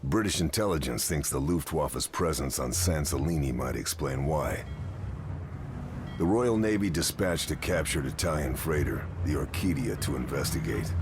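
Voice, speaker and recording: An actor playing a grim, determined Allied sniper agent, narrating a game's mission briefing in a terse, grave, deliberate way. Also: male voice, a bass voice, noisy background. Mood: grave, measured. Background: music.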